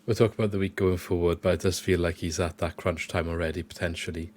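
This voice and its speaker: A man hosting a casual football podcast, his voice croaky from illness, talking conversationally with frequent restarts. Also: deep voice, fairly even intonation, fast, a little mellow, a little hiss or hum. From Wales. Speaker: podcast host.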